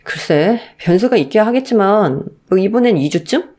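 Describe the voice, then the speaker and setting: A woman voicing a devoted, gentle husband reassuring his wife in a radio story drama. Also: mid-range voice, lively intonation, moderate pace, natural voice. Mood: tender reassuring. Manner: tender, reassuring.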